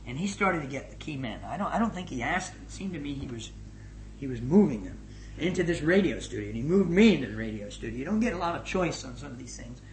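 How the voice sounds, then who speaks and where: A middle-aged man giving a spoken report as a missions leader to a gathering, energetic and emphatic, swinging between loud and hushed. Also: on the high side, quick pace. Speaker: missions leader addressing his organisation. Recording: echoey room with faint noise.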